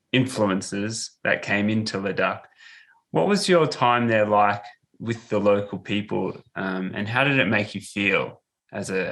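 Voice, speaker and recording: A man hosting a podcast interview, asking questions in a halting way with many fillers. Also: fairly low pitch, gravelly, fairly bright tone. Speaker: podcast host.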